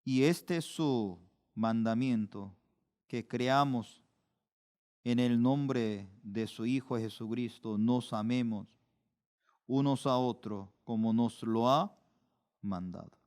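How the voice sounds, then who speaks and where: A middle-aged man preaches at a slow, measured pace. His voice is smooth and resonant, and it jumps higher and louder when he stresses a point. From Korea. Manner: slow, deliberate preaching with sudden rises in pitch and volume for emphasis. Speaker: pastor. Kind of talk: sermon.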